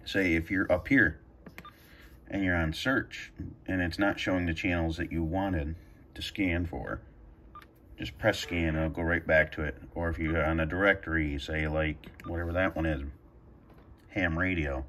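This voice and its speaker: A man's voice: sits low, fairly even intonation, a little slow, fairly bright tone, a little hiss or hum. A man giving a casual, improvised how-to, hesitant and thinking aloud as he demonstrates a device. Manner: hesitant, thinking aloud while pressing buttons. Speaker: video host demonstrating a radio scanner. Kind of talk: casual tutorial talk, improvised. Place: carpeted room indoors at home.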